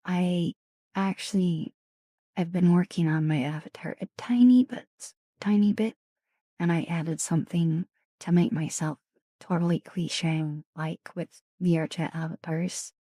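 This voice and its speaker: Raspy woman, low voice, unhurried pace, soft-spoken, whispery asides, dry close sound. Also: fairly even intonation, no echo. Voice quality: raspy, deeper than usual. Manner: chatty, greets and thanks viewers. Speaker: streamer. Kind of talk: casual ASMR live-stream chat.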